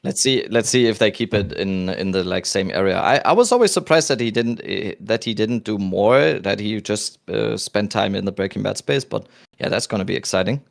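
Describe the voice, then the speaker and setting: A man in his thirties with a German accent, hosting a podcast in a relaxed, rambling chat with restarts. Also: lowish voice, a little fast, gravelly. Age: 30s. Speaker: podcast host. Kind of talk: casual chat.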